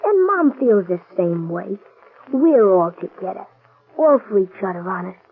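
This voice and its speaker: A woman's voice: mid-range voice, sing-song, a little slow, husky, exaggerated voice. A woman playing an earnest young boy who talks in street slang in an old radio drama. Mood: earnest, pleading. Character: plucky young boy Joey, loyal to his father. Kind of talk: 1940s radio acting. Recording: tinny lo-fi old radio recording.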